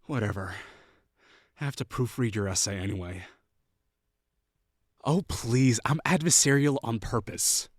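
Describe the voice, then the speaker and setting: A man's voice: mid-range voice, lively intonation, no echo, clean studio sound. A young man playing a haughty, sarcastic college rival who is secretly lonely, in a scripted audio roleplay. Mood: exasperated. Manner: sarcastic, scornful, quick to bristle.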